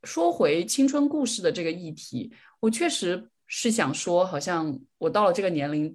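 A young adult woman chatting casually on a solo podcast, reflective and repeating words for emphasis as she shares her opinions. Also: fairly low pitch. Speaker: podcast host.

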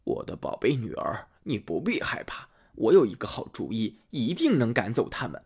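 A man voicing a scheming old king in a storybook tale, speaking low and hushed. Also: big pitch swings, moderate pace, slightly rough. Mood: sly.

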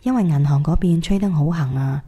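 Cantonese radio drama: modest, weary former class monitor played by a woman, warm dark tone, slightly low pitch. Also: fairly quick, husky. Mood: awkward, pleading.

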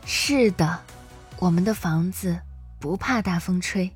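A woman's voice: lowish voice, slow, husky, soft background music, exaggerated voice. An actress playing a loving, frightened mother who comforts her small children in a soothing, motherly way in an audio drama. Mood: tender, reassuring.

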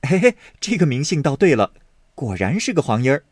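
An eager young nobleman teases and coaxes in a bright, lifting voice, voiced by a man. The delivery rises and falls widely and sounds dry and close. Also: a little high, big pitch swings, normal speed, no room sound, voice pushed up. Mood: teasing. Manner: eager, teasing.

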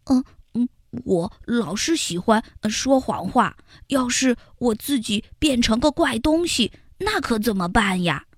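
A woman voicing a nervous little boy ant who fibs and stammers in a rushed, higher voice. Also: very animated, sharp tone, no room sound, clean studio sound, full character voice. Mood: flustered. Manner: stammering and rushed when caught lying.

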